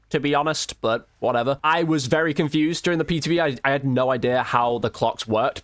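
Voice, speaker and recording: A man's voice: mid-range voice, fairly bright tone, no room sound, clean studio sound. A man giving casual, slangy gameplay commentary as a video creator, thinking aloud as he goes.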